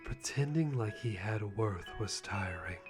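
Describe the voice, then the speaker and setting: Guy doing a slow over-the-top fanfic read, fighting back laughs, music playing behind him. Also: lowish voice, animated. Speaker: channel host reading a fanfic. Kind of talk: dramatic live read of fan fiction. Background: background music.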